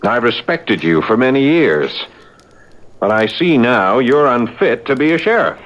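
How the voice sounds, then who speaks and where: Earnest young gentleman, very animated. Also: mid-range voice, a little slow. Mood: stern, earnest. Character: principled, earnest young colonial gentleman, indignant. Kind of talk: 1970s radio drama acting. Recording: lo-fi 1970s radio recording, faint noise.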